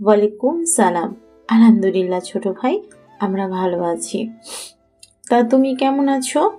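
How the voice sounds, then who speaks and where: A woman's voice: medium pitch, animated, measured pace, warm tone, faint music behind. A woman playing a young, composed and firm older sister in an audio love story. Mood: polite. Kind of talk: Bengali audio story acting.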